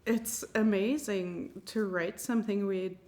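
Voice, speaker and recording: A woman's voice: mid-range voice, steady tone, unhurried, a little mellow. A woman, an amateur writer, chatting casually about her own writing and often laughing as she talks. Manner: giggly, laughs often while talking.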